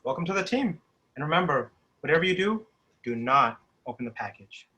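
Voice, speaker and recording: A man playing a brisk, pushy office boss in his thirties at a screenplay table read. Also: fairly high pitch, very animated, bright, forceful tone. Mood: reassuring, pushy.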